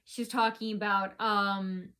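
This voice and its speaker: A woman's voice: mid-range voice, level tone, unhurried, sharp tone. A woman vlogger talking straight to her viewers in a long, rambling monologue, full of fillers and restarts.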